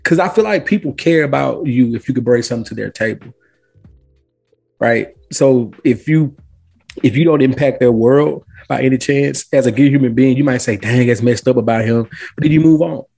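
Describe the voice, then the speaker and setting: A man's voice: mid-range voice, normal speed, vocal fry, a little mellow, slightly rough, faint music behind. A man speaking as a podcast guest, reasoning aloud in a casual, rambling debate style.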